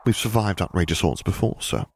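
A man's voice: low-pitched, expressive, a little fast, gravelly. Man acting out an older male admiral, defiant and loyal, formal military diction, emphatic, audiobook character, faint noise. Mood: stubborn.

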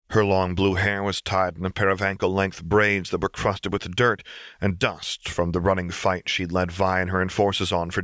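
Low-voiced male audiobook narrator. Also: a bit bright, no room sound, studio quality. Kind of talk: scripted dramatic story narration.

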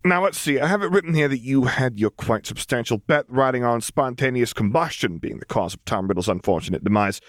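A man's voice: medium pitch, animated, fairly quick, few pauses, dry recording, studio quality, voice pushed up, natural voice. A man reading aloud, voicing a cheerful, smug male vampire secretary who is teasing and pleased with himself. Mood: gloating.

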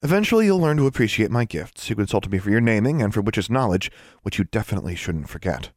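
A man's voice: on the low side, big pitch swings, quick pace, dry recording, clean studio sound, voice pushed up. A self-important teenage seer girl from an audiobook fantasy, played by a man, speaks in a raised, swooping voice. She is airy and pompous, easily affronted and very sure of her gifts. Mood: haughty.

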